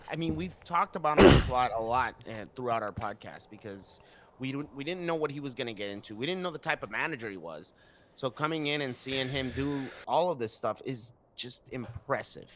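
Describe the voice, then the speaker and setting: A man with a mid-range voice co-hosts a casual sports podcast. He speaks in an easygoing, halting way and often repeats his words. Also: mid-range voice, fairly bright tone. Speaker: podcast co-host.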